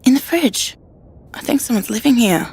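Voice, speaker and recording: A woman's voice: on the high side, animated, moderate pace, a little mellow, slightly rough, exaggerated voice. An actress playing an uneasy, questioning female survivor in a dystopian audio drama. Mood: puzzled. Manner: hesitant, questioning.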